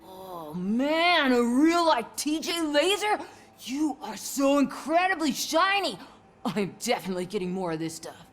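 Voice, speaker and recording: A woman's voice: high voice, sing-song, measured pace. An actress playing a giddy, drug-addled fan in a video game, gushing and rambling erratically as she wheedles. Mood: giddy, awestruck.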